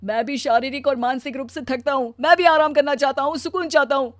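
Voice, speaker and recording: Overworked cartoon husband female performer: high-pitched, mild, plaintive and anxious, very dry close sound. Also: expressive, moderate pace, no room sound, close to an everyday voice. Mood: distraught. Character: weary, put-upon working husband, mild and anxious. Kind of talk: animated cartoon story dialogue.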